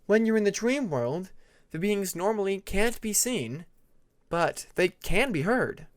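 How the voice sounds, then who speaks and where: A narrator voicing a young female dragon who is earnest and thoughtful, with a measured manner of explaining. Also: medium pitch, animated, voice pushed up, close to an everyday voice.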